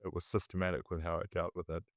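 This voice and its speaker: A man's voice: low-pitched, little pitch movement, quick pace, no echo, clean studio sound. A man, chatting casually about markets and often restarting his sentences. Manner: conversational, restarts mid-sentence. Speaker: investment commentator.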